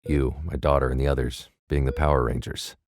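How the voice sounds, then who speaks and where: An actor playing a worried but firm middle-aged father in an audio drama, measured and a little hesitant. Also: very deep voice, flat delivery. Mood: guarded.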